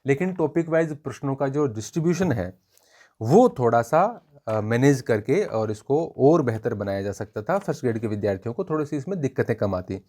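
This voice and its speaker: A man giving an informal spoken review for students as an exam coach, explaining in a measured way and pointing out examples. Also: mid-range voice, lively intonation, dark tone.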